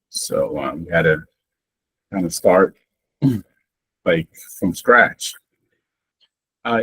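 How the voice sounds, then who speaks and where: Man in his 60s, from Honduras, Garifuna first language; low husky voice, easygoing storyteller for an interview. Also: low-quality recording. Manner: relaxed, rambling, many fillers and restarts. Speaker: guest. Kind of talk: interview, personal life story.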